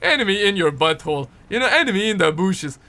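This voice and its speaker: Bright-voiced man chatting on stream. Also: sits high, lively intonation, fast. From Pakistan. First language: Urdu. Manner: rambling, repeats words and restarts often, playful. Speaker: livestreamer. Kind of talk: casual livestream chat, reading viewer comments aloud.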